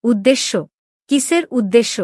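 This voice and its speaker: A woman plays a young princess in a cartoon drama, her voice fairly high and closely recorded. She is proud and wounded in love, pressing her questions with open, demanding emotion. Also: expressive, moderate pace, darkish tone, no room sound, clean studio sound. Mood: shocked, questioning. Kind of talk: dramatic Bengali animated story dialogue.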